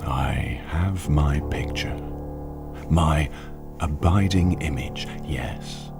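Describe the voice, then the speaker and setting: Brooding, gravelly, extremely deep-voiced writer. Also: male voice, heavily acted. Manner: measured, ruminative. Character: brooding, contemplative writer musing on murder. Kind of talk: radio drama monologue.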